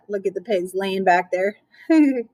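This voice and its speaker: A woman reading a picture book aloud for children in a playful, expressive way, with chatty asides. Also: mid-range voice, slow pace, no room sound.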